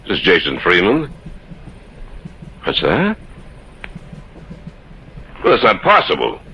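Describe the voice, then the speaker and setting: An actor playing a domineering, scoffing expedition leader in an old-time radio horror drama, with an emphatic, commanding delivery. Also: male voice, a bass voice, gravelly, pushed and bright, heavily acted. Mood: grave. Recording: dull, lightly noisy old radio recording.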